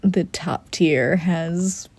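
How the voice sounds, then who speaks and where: A woman softly narrating a guided visualization meditation in a gentle, breathy half-whisper, pausing often mid-sentence. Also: lowish voice.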